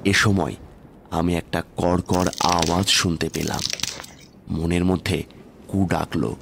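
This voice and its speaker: A man's voice: sits low, little pitch movement, a little slow, vocal fry, noisy background. A man narrating a scripted horror story in the first person, with dramatic, suspenseful delivery. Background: background music.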